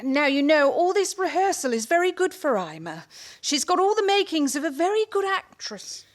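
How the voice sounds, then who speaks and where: A woman playing a brisk, bossy lady who directs amateur rehearsals in a comic radio play, prim and coaxing. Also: sits high, big pitch swings. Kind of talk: stage comedy performed as radio play.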